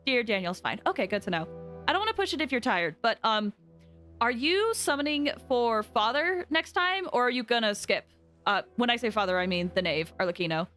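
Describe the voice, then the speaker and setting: A woman's voice: fairly high pitch, lively intonation, brisk, fairly bright tone. A young woman chatting casually and effusively as a game livestreamer, often exclaiming. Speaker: game streamer. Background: game music.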